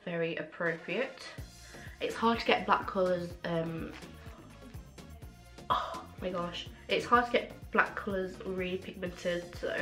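A woman's voice: lowish voice, a little slow. Young girl with a sore throat gushing over makeup, faint music behind. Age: young adult. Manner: gushing, excitable, many fillers. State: has a cold and sore throat. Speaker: beauty vlogger. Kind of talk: casual makeup review. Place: small room at home, indoors. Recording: echoey room with faint music.

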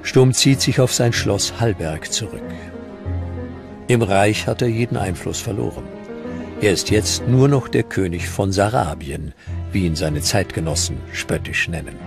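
A man narrates a historical documentary in a fairly low voice. He reads from a prepared script, with clearly audible music underneath. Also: lively intonation, a little slow.

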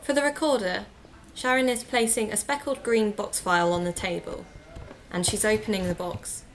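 Over faint ambient noise, a woman acting as a podcast investigator asks questions in an audio drama. She is polite and inquisitive, with a medium pitch. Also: steady tone, normal speed, close to an everyday voice. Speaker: podcast interviewer.

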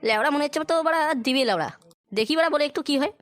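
Man putting on a very high-pitched, whiny loafer son. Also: lively intonation, rapid-fire, a bit bright, dry recording. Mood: hesitant, scheming. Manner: whining, foul-mouthed, grumbling. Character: idle, wheedling grown son, village loafer. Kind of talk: crude adult comedy cartoon dubbing.

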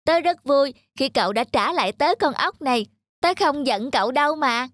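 High-pitched earnest cartoon child. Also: female voice, lively intonation, moderate pace, background noise. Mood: forgiving, sincere. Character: young children, close friends, in a gentle moral tale. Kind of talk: Vietnamese children's cartoon dubbing.

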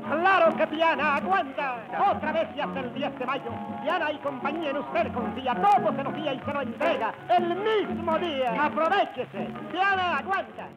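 Super high voiced guy selling on old radio ad, muffled recording, loud music. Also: lively intonation, normal speed, few pauses. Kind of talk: old radio advertisement pitch.

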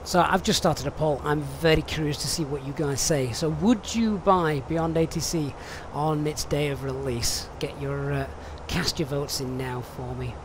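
A man's voice: a little high, steady tone, moderate pace, hardly any pauses, background noise. A man streaming a flight simulator, chatting and reading out viewer questions with many ums and uhs. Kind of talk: live stream commentary.

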